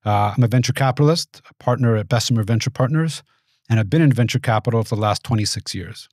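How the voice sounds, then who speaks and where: A man in his forties, raised in the United States, giving thoughtful, measured interview answers in a small studio. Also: mid-range voice, a little mellow, no echo. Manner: measured, thoughtful, with frequent restarts. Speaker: guest, venture capitalist. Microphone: studio mic on boom arm.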